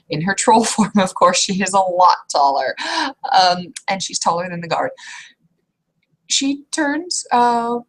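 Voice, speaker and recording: A woman narrating a tabletop roleplaying game as game master in a halting, conversational, improvised way. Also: on the low side, a bit bright, low-quality recording. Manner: halting, conversational, piecing descriptions together. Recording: clear background noise.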